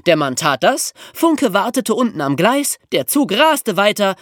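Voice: mid-range voice, unhurried, dry recording. A podcast host narrates in a chatty, colloquial style, posing questions and then answering them. The intonation moves up and down with plenty of life. The recording is dry, with no audible room. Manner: animated, colloquial, rhetorical questions. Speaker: podcast host telling true crime stories. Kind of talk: conversational storytelling narration.

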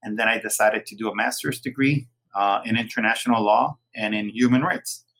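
A man in his forties from Puerto Rico, a lawyer and interview guest, recounting his career in a relaxed storytelling way. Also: fairly low pitch, brisk, creaky, low-quality recording. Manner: relaxed storytelling, occasional laughs. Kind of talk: casual interview.

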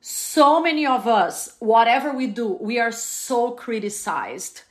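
A woman hosts a casual podcast chat. She talks in an animated way and laughs easily. Her voice sits a bit high. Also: unhurried. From Latin America. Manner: animated, laughs often, mixes in Spanish. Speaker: podcast host. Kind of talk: casual interview.

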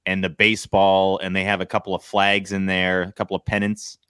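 A man's voice: deep voice, completely flat delivery, brisk, fairly bright tone, smooth, no room sound, studio quality. An American man in his thirties talking casually and with opinions, like a sports podcast co-host. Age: 30s.

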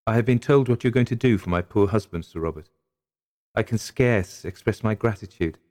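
A man's voice: on the low side, measured pace, a little mellow, natural voice. A man voicing a genteel, anguished wife who pleads in formal, melodramatic period language, as in a dramatic audiobook. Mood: earnest, pleading. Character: anguished young gentlewoman, genteel, pleading, dreading a cruel husband.

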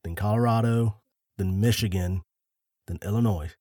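A man co-hosting a podcast, telling a story in a laid-back, deliberate way with dry jokes, a little short of breath. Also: lowish voice, mellow, no room sound. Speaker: podcast co-host.

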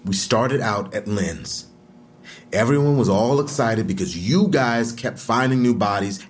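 A man playing an aggrieved, defiant man under police questioning in an audio drama, speaking colloquially and emphatically. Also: fairly low pitch. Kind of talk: full-cast audio drama acting.

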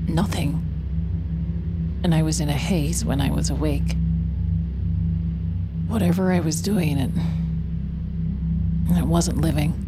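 An actress playing a troubled, isolated woman in an audio drama, speaking in a halting, naturalistic way. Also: low voice. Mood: numb, despairing. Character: troubled, isolated woman, searching and increasingly desperate. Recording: music behind the voice.

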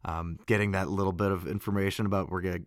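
A man in a casual recap chat as a guest voice actor, speaking haltingly and with enthusiasm. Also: deep voice, little pitch movement, no echo, studio quality.

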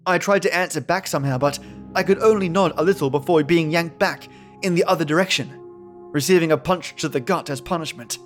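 A man narrates scripted horror fiction in the first person. His voice sits a bit high for a man, and the read is dramatic. Music plays softly beneath him. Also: brisk. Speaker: storyteller. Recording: voice over background music.

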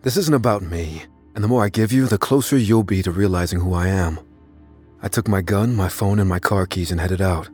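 A man playing an anxious, unassuming narrator who recounts his own mystery in a measured, confessional way for an audio drama. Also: deep voice, a little mellow, breathy edge. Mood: confiding, reflective. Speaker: narrator of a fiction podcast. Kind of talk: first-person dramatic scripted narration. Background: faint music.

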